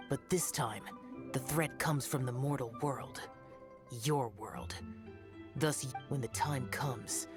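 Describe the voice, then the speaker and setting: A man's voice: mid-range voice, natural voice. A man voicing a stern, aloof young male guardian who slays demons in a video game, speaking in a cold, formal way. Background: game music.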